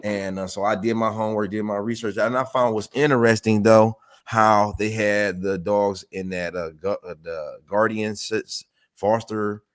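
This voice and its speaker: Low-voiced, rambling man in his forties. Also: fairly even intonation, normal speed. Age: 40s. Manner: rambling, hesitant recounting with fillers. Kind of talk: casual conversational interview.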